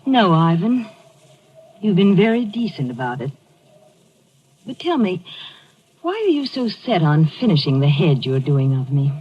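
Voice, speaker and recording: A woman playing a polite artist's model in an old-time radio drama, with an expressive, mannered stage delivery. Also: on the low side, unhurried, long pauses, slightly rough, heavily acted. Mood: uneasy. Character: polite, uneasy woman posing for her former lover. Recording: muffled old radio recording.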